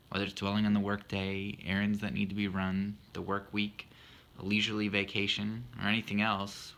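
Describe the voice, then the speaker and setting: Man, thirties, gravelly low voice, crisp bright tone, earnest but self-mocking, reflective monologue. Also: slight background noise. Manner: earnest with self-deprecating humor. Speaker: husband explaining his poem.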